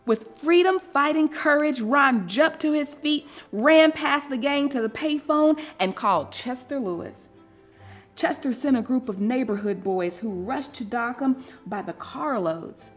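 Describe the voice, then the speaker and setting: Slow, expressive woman reading a children's story. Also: sits high, soft background music. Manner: deliberate, expressive, dramatic storytelling delivery. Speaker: storybook reader. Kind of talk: scripted children's story read aloud.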